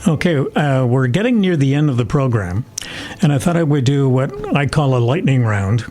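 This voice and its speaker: A middle-aged or older man with a Canadian accent, hosting a podcast and putting questions in a measured, conversational way. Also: medium pitch, very animated, a little mellow, a little hiss or hum. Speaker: podcast host, interviewer. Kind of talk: conversational interviewing.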